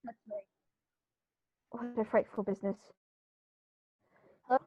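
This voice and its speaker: A woman playing a flustered upper-class gentleman in a radio play, fussy and easily rattled. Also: on the high side, fairly even intonation, slow pace, muffled recording, very noisy. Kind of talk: 1930s radio play acting.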